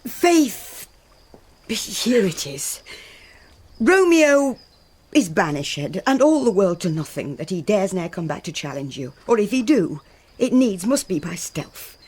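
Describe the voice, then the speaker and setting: An actress playing an earthy, pragmatic older nurse in a Shakespearean radio drama, with a British accent and a husky voice. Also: female voice, mid-range voice, sing-song, slow, darkish tone, full character voice. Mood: coaxing, pragmatic.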